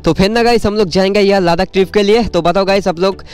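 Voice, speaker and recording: A young man casually chatting to camera as a moto vlogger outdoors on an empty highway, teasing and laughing often. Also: fairly even intonation, fast talker, darkish tone, smooth. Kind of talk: casual vlog chat to camera.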